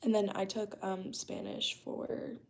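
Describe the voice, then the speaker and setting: Early-20s woman, casual home vlog chat, fillers and all, vocal fry, mid pitch. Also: sing-song, takes it slowly. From United States. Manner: loose and chatty, full of "um" and "like". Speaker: vlogger chatting with her live chat. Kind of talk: casual chat while working. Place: her home, working remotely.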